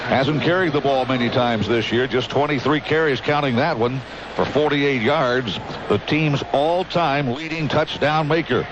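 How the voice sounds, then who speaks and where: A man's voice: mid-range voice, moderate pace. A man with an American accent calling live football on radio as play-by-play announcer, in vivid, clipped phrases. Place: outdoor stadium broadcast booth. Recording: muffled radio broadcast, loud stadium noise. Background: loud stadium noise.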